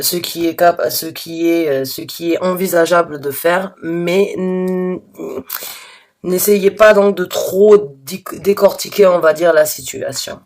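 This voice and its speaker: A slightly hoarse woman giving a spontaneous tarot reading to her viewers, halting and restarting often, with earnest, reassuring advice. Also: deep voice. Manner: hesitant, halting with many restarts and fillers. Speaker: tarot reader.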